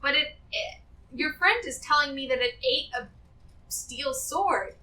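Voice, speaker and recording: Lady playing a worried polite mom in a tabletop rpg, unhurried and bright, faint noise. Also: sits high, animated, heavily acted. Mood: gently worried. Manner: measured, polite, fretting. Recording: slightly distant mic, faint background noise.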